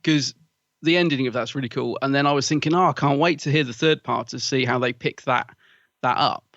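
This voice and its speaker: A man's voice: mid-range voice, fairly quick, creaky, a bit bright, no echo, dull audio. A man co-hosting a podcast in casual chat, chatty and rambling with fillers, laughing often. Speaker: podcast co-host.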